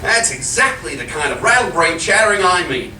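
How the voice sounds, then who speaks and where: Guy acting a jumpy exasperated radio comedy husband, voice swinging all over, bright, bit far from the mic. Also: sits high, sing-song, brisk, exaggerated voice. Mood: grumbling. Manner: blustering, exasperated, insistent. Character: irritable nervous husband trying to sell haunted house. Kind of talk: old-time radio comedy acting on stage. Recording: roomy live stage, distant mic, hiss.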